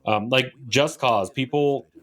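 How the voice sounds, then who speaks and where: A man with an average-pitched voice speaks as a podcast co-host. He chats in a loose, conversational way, wandering between thoughts and often checking that he is understood. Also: slow, fairly bright tone. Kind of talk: casual conversational video game chat.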